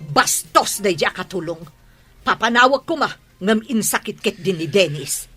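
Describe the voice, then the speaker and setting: A woman's voice: mid-range voice, lively intonation, unhurried, bright, forceful tone, slightly rough, soft background music, full character voice. An actress playing a haughty, hot-tempered mother in a Filipino radio drama, with an indignant, scornful manner and a Filipino accent. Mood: angry, complaining.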